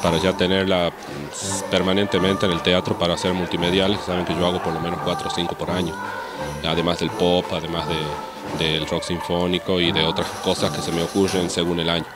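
An Argentine man, an orchestra conductor, answering an interview informally and explaining things with frequent pauses to search for words. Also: deep voice, level tone. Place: theatre, on location. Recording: loud music behind the voice.

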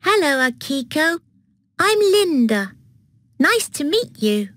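A lively high-voiced schoolgirl. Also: slow, no room sound, heavily acted. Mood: friendly. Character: friendly young schoolgirl from England. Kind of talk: textbook dialogue recording.

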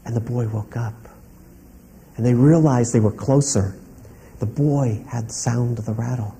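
Mellow-voiced male storyteller. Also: medium pitch, a little slow, slight background noise. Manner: calm, rhythmic "and... and" telling. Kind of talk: oral storytelling.

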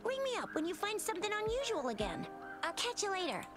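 An actress voicing an eager, upbeat young woman patrol officer who talks in a lively, chatty way in a game. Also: extremely high pitch, quick pace, loud music behind the voice, exaggerated voice.

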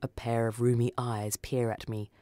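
A woman reading a short story for radio in a theatrical, deliberate, dramatic narrating style. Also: a bass voice, flat delivery, mellow.

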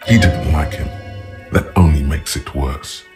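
An extremely deep, solemn narrator of inner thoughts for a video game. The delivery is measured, literate and knowing, over faint background music. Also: male voice. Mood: grave. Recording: music behind the voice.

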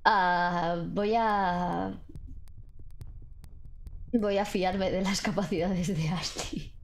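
A woman's voice: fairly low pitch, steady tone, extremely slow. A woman playing a tabletop roleplaying game, describing her character's actions in casual speech. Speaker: player.

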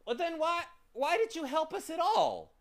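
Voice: extremely high pitch, very animated, moderate pace, voice pushed up, exaggerated voice. A narrator voicing an indignant, plain-spoken young boy in a storybook, exclaiming in complaint. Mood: bitter, angry.

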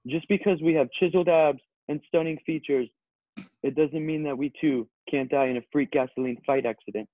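A man playing a dim, vain, earnest male model in a comedy table read, speaking solemnly and haltingly. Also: fairly high pitch, close to an everyday voice. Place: indoors at home on a video call. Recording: narrow, tinny phone-like call audio.

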